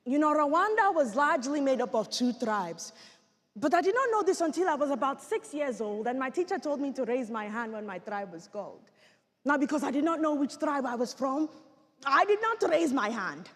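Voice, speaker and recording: A woman performing a dramatic monologue as an earnest Rwandan genocide survivor, telling childhood memories with deliberate theatrical pauses. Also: high-pitched, very animated. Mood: earnest storytelling. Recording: echoey hall with long reverb.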